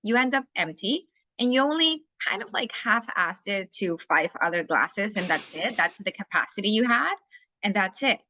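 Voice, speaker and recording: A woman's voice: mid-range voice, lively intonation, moderate pace, pushed and bright, slight background noise. A woman from Chile speaking English with a Chilean Spanish accent, a life coach talking candidly and bluntly as a podcast guest. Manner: candid, frank and outspoken. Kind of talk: casual interview conversation.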